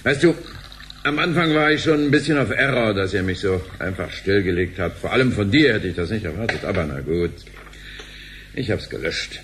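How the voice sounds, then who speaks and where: Chummy businessman, very low voice, swooping intonation, theatrical, radio play. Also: lively intonation, normal speed, a bit bright, a little hiss or hum. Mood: jovial. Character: jovial, excitable sidelined businessman with gambling weakness. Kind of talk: conversational radio drama acting.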